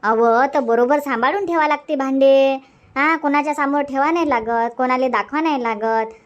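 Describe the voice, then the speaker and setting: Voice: sits high, normal speed, muffled recording, lightly acted. A cartoon performer voicing an opinionated village auntie, emphatic, repetitive and scolding. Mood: scolding, knowing. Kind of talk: Marathi cartoon comedy dubbing.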